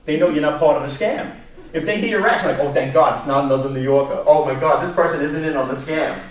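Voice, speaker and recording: A man from Long Island with a Brooklyn New York accent, giving an informal talk to a staff meeting in an animated storytelling way. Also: a little high, fast talker. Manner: animated storytelling, acts out quotes, rhetorical questions, chuckles. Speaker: presenter, job search instructor. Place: indoor meeting room, recorded from a distance. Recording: echoey room from far off, background noise.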